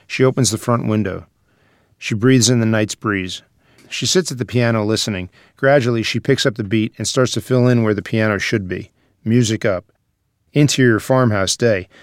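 A man's voice: fairly low pitch, dry recording, clean studio sound. A man narrating the stage directions of a screenplay at a table read.

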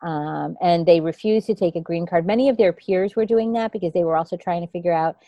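A woman's voice: fairly low pitch, fairly quick, dry recording, lo-fi sound. A woman in her fifties from India, a guest telling her life story in an interview, reflective and rambling, often restarting her sentences. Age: 50s.

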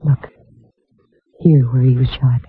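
A woman's voice: extremely low pitch, fairly even intonation, slow, husky, full character voice. An actress playing a shrewd, matter-of-fact female private detective in 1950s radio style, narrating her case with a wry edge. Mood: serious, candid. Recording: dull old radio recording with music.

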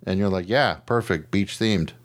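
A middle-aged American man co-hosting a podcast, chatting casually about movies in a slangy, animated, profane way. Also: low voice, moderate pace. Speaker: podcast co-host.

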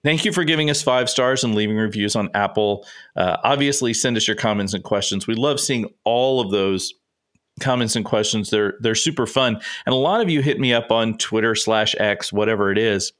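A man's voice: fairly low pitch, normal speed, fairly bright tone. A man hosting a sports podcast solo, rambling and chatty while slightly congested and sniffling. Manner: chatty, rambling, self-interrupting. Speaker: podcast host and sports writer. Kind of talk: casual solo sports talk.

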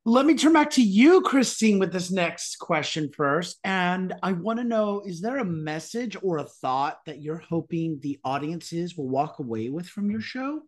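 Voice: mid-range voice, expressive, a little slow, few pauses. A podcast host interviewing guests, affirming their answers enthusiastically. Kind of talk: interview hosting.

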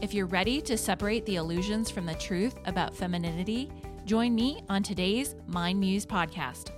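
Upbeat female host, polished promo read over clear music. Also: mid-range voice, moderate pace. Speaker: podcast host. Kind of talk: scripted intro then casual interview. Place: mountain region home.

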